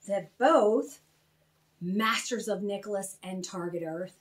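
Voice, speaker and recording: A woman reading her own children's story aloud and chatting to young listeners in an animated, expressive manner. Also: medium pitch. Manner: animated, expressive, spells out names slowly.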